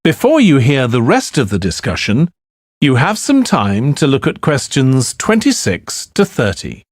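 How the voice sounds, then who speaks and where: A man reading scripted exam instructions as an announcer, formal and deliberate. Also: medium pitch, huge pitch swings, no echo, clean studio sound.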